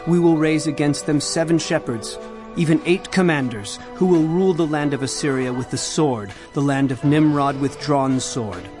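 A man's voice: medium pitch, close to an everyday voice. An actor playing an earnest male prophet in a dramatized audio Bible, declaiming solemnly to his people. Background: dramatic music.

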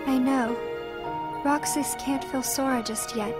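An actress voicing a gentle, grave young girl in a video game, soft-spoken and measured, with sorrow in her delivery. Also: sits high, steady tone. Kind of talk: dramatic game cutscene acting. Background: loud sad music.

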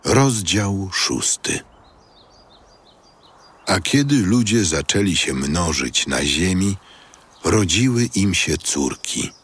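A man narrating scripture from a script in a measured, solemn style. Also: sits low, lively intonation, fairly bright tone, husky. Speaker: narrator of an audio Bible.

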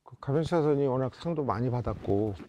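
A man in his fifties from South Korea reminisces as a film director in a reflective interview, often pausing to search for words. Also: medium pitch, animated, warm tone, highs cut off. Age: 50s. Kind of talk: reflective oral-history interview. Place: indoor interview set before a photo backdrop.

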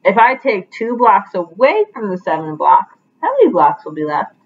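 A woman's voice: mid-range voice, sing-song, normal speed. A woman reading as a male schoolteacher, lo-fi sound. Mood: instructive. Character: male schoolteacher, encouraging math quizzer.

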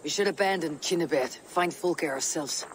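An actor playing a stern, impatient Viking warrior with a blunt manner in a video game. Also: male voice, fairly high pitch, moderate pace, a little hiss or hum, lightly acted. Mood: brooding. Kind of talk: dramatic video game acting.

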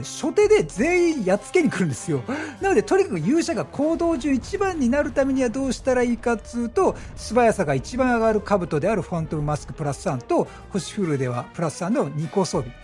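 A male gaming commentator with a high-pitched voice that swings widely as he talks. He explains his play in a chatty, excitable way. Faint music plays behind him. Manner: chatty, animated, exclaims at the action. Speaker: gaming streamer commentating gameplay.

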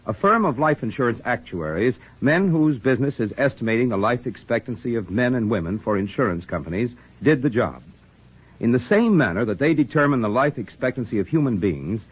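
Husky, animated male radio pitchman. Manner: emphatic, declarative. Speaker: commercial announcer. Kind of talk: scripted 1940s radio advertisement. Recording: muffled 1940s radio recording.

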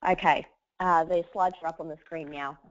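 A woman, hoarse from a cold, hosting a webinar and running through housekeeping in a chatty way with many fillers. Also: low-pitched, steady tone, normal speed, no room sound. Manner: chatty, lots of uhs. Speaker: webinar host. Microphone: computer mic over a webinar line. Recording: dull dry webinar audio.

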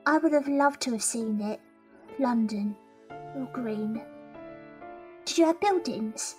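A woman acting as a young Victorian London woman, playful and curious, in an audio drama. Her voice is fairly high, her talk informal and affectionately cheeky, with music behind it. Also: slow, darkish tone. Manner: informal, teasing, colloquial.

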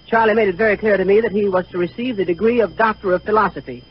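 A man gives scripted 1930s radio comedy banter, high in pitch and fast. The broadcast recording is dull with a little noise. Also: husky.

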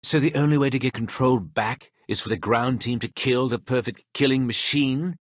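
An actor playing a commanding, exasperated mission coordinator in a video game, with an urgent, theatrical delivery. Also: male voice, medium pitch, moderate pace, no echo, studio quality, lightly acted. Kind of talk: dramatic video game dialogue.

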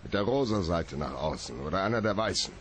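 An actor playing a cool, sardonic private detective in a radio drama, terse, probing and dry. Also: male voice, low voice, lively intonation, fairly quick, slight background noise. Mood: probing, curt. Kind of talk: radio drama acting, hardboiled.

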